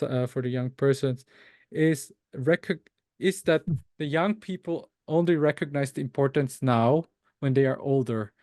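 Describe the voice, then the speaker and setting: A man's voice: medium pitch, darkish tone, dry recording. Hesitant man, Tagalog native, dry sound. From Austria. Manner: hesitant, many fillers and self-corrections. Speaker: researcher and author, interview guest. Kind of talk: thoughtful interview answers.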